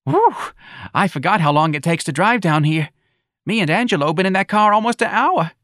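A timid, fretful older mother, as a male narrator voices her, pitched high above his own voice in a plaintive whine. She gossips and frets in broken grammar. The read is close and dry. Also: expressive, brisk, a bit bright, no room sound.

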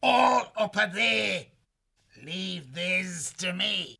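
Crazy butcher bird for an audiobook, super sharp n high, raspy, slow, menacing war brags. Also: male voice, animated, full character voice. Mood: wild, gleeful. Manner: menacing, drawn-out, boastful war cries. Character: fierce, mad-eyed male shrike, a warlike butcher bird. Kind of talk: audiobook character voice.

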